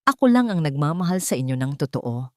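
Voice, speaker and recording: A woman's voice: sing-song, a little fast, no room sound, clean studio sound. A woman voicing a scheming young woman in an audio drama, falsely innocent and vicious underneath. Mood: sweetly manipulative. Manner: gentle coaxing that turns to shrill outrage.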